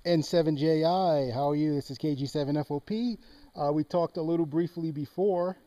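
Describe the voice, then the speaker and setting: A man's voice: on the high side, normal speed, warm tone. A man making casual small talk as an amateur radio operator, giving call signs and checking in. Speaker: amateur radio operator recording his contacts. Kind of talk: casual ham radio chat. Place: outdoors on a mountain.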